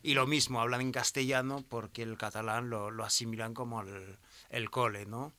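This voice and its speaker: Man with a bright, tense voice, hesitant. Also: mid-range voice, steady tone, normal speed. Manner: hesitant, mixing languages as he talks. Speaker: guest. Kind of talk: casual conversation.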